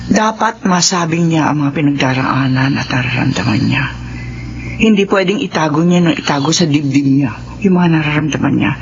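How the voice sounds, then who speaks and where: A woman's voice: sits low, normal speed, gravelly. An actress playing a caring, hardworking middle-aged mother of grown children in a radio drama, tender and earnest. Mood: concerned. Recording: dull, lo-fi radio drama with noise.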